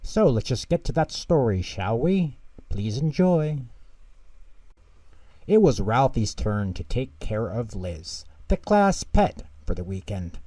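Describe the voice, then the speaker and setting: A man narrating a scripted children's story as host, with an expressive, deliberate delivery. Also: medium pitch, dry recording.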